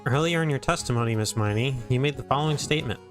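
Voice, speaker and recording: A man voicing an earnest, determined young defense lawyer in an amateur courtroom game fan dub, with a deliberate, accusing manner. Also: mid-range voice, soft background music, natural voice. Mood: methodical, pressing.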